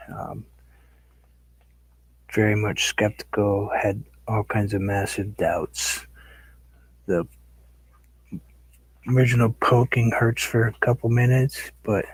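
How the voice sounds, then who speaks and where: Man, middle-aged, raspy, husky, lowish voice, rambling live-stream painter. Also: no echo, rough recording. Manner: rambling, talks to viewers while working. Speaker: painting livestream host. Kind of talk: casual chat while painting.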